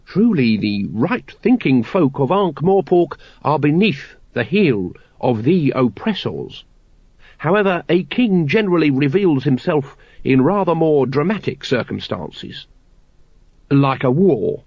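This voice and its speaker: A man's voice: a little high, very animated, unhurried, husky, no room sound, heavily acted. Man playing a silky scheming cult leader. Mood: persuasive. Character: scheming male cult leader, smooth and manipulative. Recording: dry, dull lo-fi recording.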